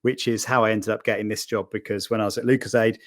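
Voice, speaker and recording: A man's voice: on the low side, steady tone, fast. A man in his forties from Wales, a guest on a podcast, talking in a friendly, rambling way and laughing easily. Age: 40s. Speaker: podcast guest, marketing executive. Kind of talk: casual interview.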